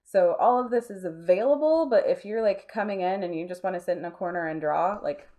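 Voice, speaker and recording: A woman's voice: lowish voice, brisk, mellow. A woman talking casually as an interview guest, chatty and informal, often dropping in filler phrases. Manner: chatty, informal, frequent "like" and "you know". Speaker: interview guest, nonprofit arts space co-founder.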